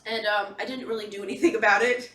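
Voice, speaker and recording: A woman's voice: medium pitch, steady tone, quick pace, bright, forceful tone. A woman in her forties talking casually and candidly to her viewers, emphatic, acting out her own inner dialogue in a confessional monologue. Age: 40s. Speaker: podcast and video host. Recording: echoey room, distant microphone.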